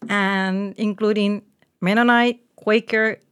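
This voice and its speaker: A woman's voice: medium pitch, steady tone, takes it slowly, smooth. A Puerto Rican woman in her forties, a guest in an interview, talking casually with many fillers and restarts. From Puerto Rico. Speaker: guest, communications manager.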